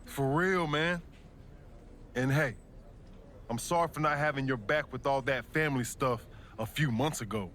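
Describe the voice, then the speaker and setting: A man's voice: medium pitch, moderate pace, long pauses, a bit bright, slight background noise, lightly acted. A man playing an earnest American wrestler pleading with his brother in slangy video game dialogue. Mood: conciliatory.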